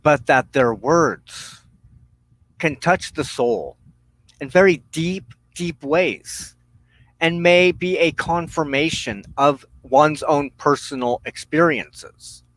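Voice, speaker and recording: A man giving a spiritual teaching monologue as a broadcast host, solemn and deliberate, speaking in halting phrases with pauses. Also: on the high side, a bit bright, no echo, low-quality recording. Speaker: religious teacher hosting a broadcast on witchcraft.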